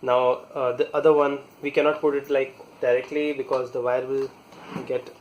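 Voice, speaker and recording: A man talking casually through a hands-on product installation, halting and pausing mid-sentence as he works. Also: medium pitch, little pitch movement, highs cut off, slight background noise. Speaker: product reviewer demonstrating installation. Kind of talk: casual hands-on tutorial talk.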